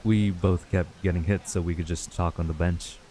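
A man's voice: deep voice, fairly even intonation, moderate pace, warm tone, natural voice. A man playing a laid-back, wistful young man in an ASMR roleplay, talking casually and hesitantly to a childhood friend. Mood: earnest. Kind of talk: ASMR roleplay acting, intimate and conversational.

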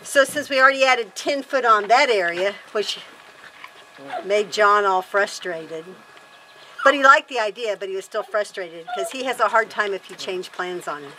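A woman's voice: medium pitch, moderate pace, pushed and bright, a little hiss or hum, rough recording. A woman in her fifties chatting casually to camera outdoors on a wooden deck, rambling and correcting herself as she talks. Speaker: homesteader vlog host.

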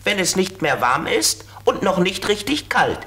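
A man's voice: high voice, very animated, a little slow, pushed and bright, slightly rough, exaggerated voice. An actor voicing a friendly, playful little flying ladybird car in a 1970s children's radio play, cheerful and reassuring. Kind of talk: 1970s children's audio drama acting.

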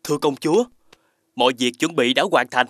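A man playing a dutiful courtier in an animated fairy tale, formal and respectful. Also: medium pitch, fairly quick, no echo, lightly acted.

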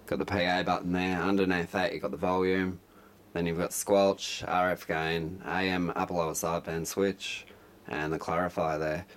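Deep-voiced man demoing a radio. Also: moderate pace. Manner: relaxed, chatty walkthrough of controls. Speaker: radio seller demonstrating a CB set. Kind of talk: casual product demonstration. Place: indoors, room at home.

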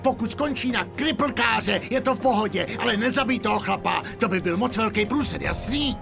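An actor playing a curt, bossy mob boss giving coarse orders in a dubbed game cutscene. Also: male voice, high voice, lively intonation, vocal fry. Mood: stern. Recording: music behind the voice.

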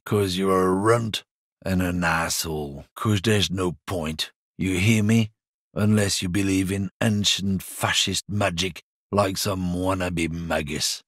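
A gruff, bigoted lorry driver. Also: male voice, low-pitched, no echo, studio quality. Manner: gruff, combative, swears a lot. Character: bigoted middle-aged male lorry driver, surly and defensive. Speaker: game character. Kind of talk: video game voice lines.

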